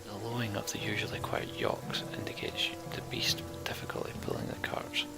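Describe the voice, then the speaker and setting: A man's voice: fairly low pitch, level tone, fairly quick, creaky, in a whisper, loud music behind the voice. A man narrating a fantasy game's story text aloud as a let's play host.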